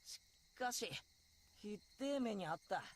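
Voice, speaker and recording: A voice actor playing an earnest, husky teenage schoolboy, the hero of an anime visual novel. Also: mid-range voice, lively intonation, fast. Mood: flustered, exasperated. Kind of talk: Japanese anime game voice acting.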